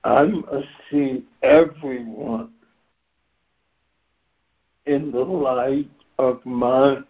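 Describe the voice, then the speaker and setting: A man losing his voice gives a reflective spiritual share on a group call, measured and clearing his throat now and then. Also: medium pitch, fairly even intonation. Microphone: phone on a conference call. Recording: dull lo-fi conference call line.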